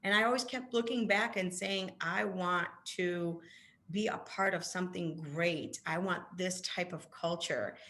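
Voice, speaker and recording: A woman's voice: on the low side, a bit bright. A woman born in Poland whose first language is Polish, a business founder telling her story in an interview, earnest and prone to restarts. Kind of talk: interview conversation.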